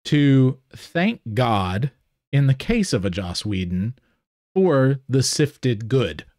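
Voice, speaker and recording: A man in a casual panel discussion, speaking in a halting, deliberate way as he qualifies his points. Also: mid-range voice, sing-song, a little mellow, no room sound, studio quality. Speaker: podcast panelist.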